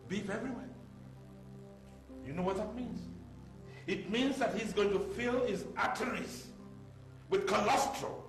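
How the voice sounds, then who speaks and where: A pompous middle-aged father in a drama, domineering and protective of his grown daughter. His pitch swings widely as he holds forth theatrically, in an echoey room with music clearly underneath. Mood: alarmed lecturing. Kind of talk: screen drama acting. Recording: roomy echo, music underneath.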